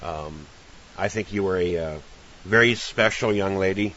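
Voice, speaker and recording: Deep-voiced man with dull, hissy sound. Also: measured pace, fairly bright tone. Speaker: podcast host, interviewer. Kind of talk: casual interview chat. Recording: dull lo-fi audio with clear hiss.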